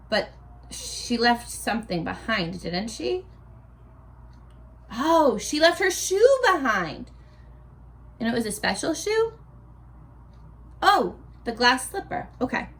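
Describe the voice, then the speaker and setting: A woman teaching young children in a read-aloud lesson, speaking in an animated, playful way and asking questions as if the viewers answer back. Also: a little high. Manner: asks questions and answers imagined replies.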